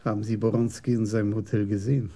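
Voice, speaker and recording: An actor playing a thoughtful senior police commissioner in a radio crime drama, measured and dryly probing, with a husky voice. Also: male voice, fairly low pitch, level tone, dark tone. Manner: measured, deliberate, philosophical.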